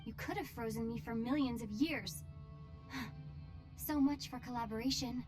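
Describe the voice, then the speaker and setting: A woman's voice: fairly high pitch, moderate pace, over music, lightly acted. An actress voicing a wary, earnest and defiant young female traveler in a dramatic video game, speaking pointedly. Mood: suspicious, defiant.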